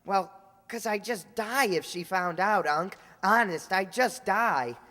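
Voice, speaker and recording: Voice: medium pitch, slow, pushed and bright. A performer acts an eager schoolboy nephew from an old-time radio comedy. The delivery is boyish, casual and slangy. The sound carries a long hall reverb. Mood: grateful, breezy.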